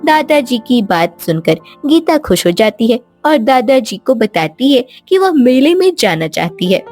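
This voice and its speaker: High-voiced woman narrating a story. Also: sing-song, a little slow, darkish tone. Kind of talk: scripted children's story narration. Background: background music.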